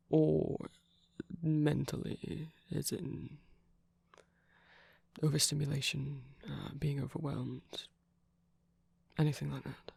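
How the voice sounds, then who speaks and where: A man playing a soft, caring vampire boyfriend in an intimate ASMR roleplay, speaking gently with pauses. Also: on the high side, dry recording, full character voice. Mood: tender concern. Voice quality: soft, breathy.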